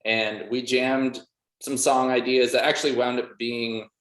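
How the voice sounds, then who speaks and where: A man's voice: mid-range voice, flat delivery, unhurried, fairly bright tone. A man with a laid-back, rambling way of talking, full of "like", answering casually as a musician in an interview. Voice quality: casual, filler-heavy speech with frequent "like". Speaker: band member and music producer being interviewed. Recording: roomy, echoey sound.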